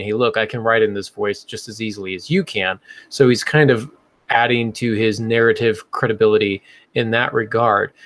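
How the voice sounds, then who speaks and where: A man with a mid-pitched voice talks on a casual podcast. He explains things the way a teacher would, working through his thoughts aloud as he speaks. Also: fairly bright tone, no room sound. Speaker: podcast host and writing teacher.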